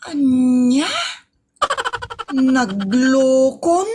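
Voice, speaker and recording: A woman plays an outspoken daughter in an Ilocano radio comedy. Her voice is high and her manner feisty and fussing, with an Ilocano accent. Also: animated, extremely slow, background noise, full character voice. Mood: stern.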